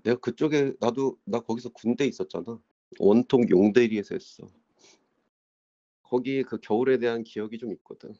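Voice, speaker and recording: A man's voice: medium pitch, racing, dark tone, no room sound. A man from South Korea recalling his memories in casual conversation. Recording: dry, dull lo-fi sound.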